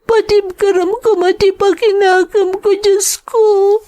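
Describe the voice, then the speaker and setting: A woman's voice: very high voice, little pitch movement, takes it slowly, mellow. An actress with an Ilocano accent playing an anguished young woman working abroad as a maid, lamenting tearfully in a radio drama. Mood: despairing prayer.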